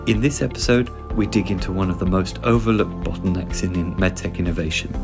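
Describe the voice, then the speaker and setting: A man hosting a podcast, reading a smooth scripted introduction and asking interview questions. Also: deep voice. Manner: smooth, read-aloud delivery. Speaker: podcast host. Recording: music bed behind the voice. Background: music.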